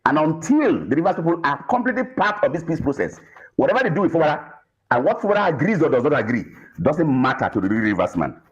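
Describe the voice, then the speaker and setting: A Nigerian man delivers political commentary with big swings in pitch. He is emphatic and repeats phrases to drive them home, and the audio is somewhat dull and lo-fi. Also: big pitch swings, fast. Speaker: political analyst panel guest.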